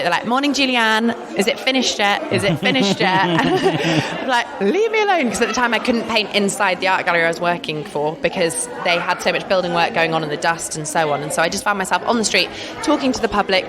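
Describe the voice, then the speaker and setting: A woman's voice: medium pitch, lively intonation, quick pace, hardly any pauses. A woman artist with an English accent talking about her work in a chatty, enthusiastic way, laughing often. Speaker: artist interviewed. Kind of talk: casual interview chat. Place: busy art fair. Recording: crowd chatter behind.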